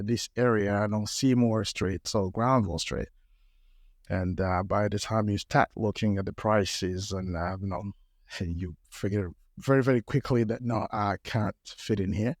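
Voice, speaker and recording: A man's voice: fairly low pitch, a little mellow, no echo, clean studio sound. A middle-aged man from Ethiopia, a panel guest telling a story with many hesitations and fillers. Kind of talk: storytelling in a live podcast panel. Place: live panel event.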